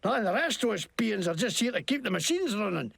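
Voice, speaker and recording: A swaggering wasteland crook in a video game with a badly faked Scottish brogue. His gravelly voice leaps all over the place as he rants, curses and protests. It sounds dry and close. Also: high-pitched, sing-song, fairly quick, a bit bright, dry recording, heavily acted. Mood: indignant. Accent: put-on, badly faked Scottish. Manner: profane, jumpy, indignant ranting. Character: crude scheming wasteland grease-monkey man faking Scottish. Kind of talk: video game character acting.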